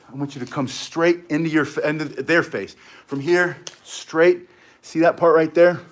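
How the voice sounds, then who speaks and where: A middle-aged man running a self-defense lesson with a slightly high voice. He talks in quick, punchy bursts, counting out strikes and joking in between, chatty and full of energy. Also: fairly bright tone. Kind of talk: casual instructional demonstration.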